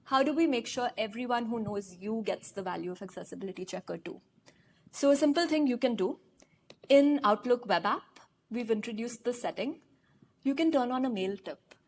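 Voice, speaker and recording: A woman's voice: a little high, lively intonation, a little mellow. Upbeat woman presenter. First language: Hindi. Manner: brisk, upbeat, asks the audience questions. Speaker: conference presenter. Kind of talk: live product demo talk. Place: large conference hall.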